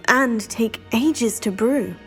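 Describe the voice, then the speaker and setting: A woman's voice: fairly high pitch, slow pace, faint music behind. An actress playing an earnest, curious young student witch, in a video game cutscene.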